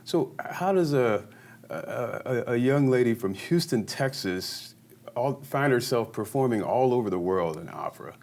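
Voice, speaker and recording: A man hosting an interview who asks questions haltingly, often restarting words and laughing. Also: medium pitch, animated, darkish tone.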